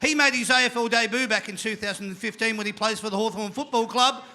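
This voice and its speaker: Rowdy high-voiced Australian male host. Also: quick pace. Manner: energetic, jokey, shouts to the crowd. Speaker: panel show host. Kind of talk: live panel show hosting. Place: live venue with an audience.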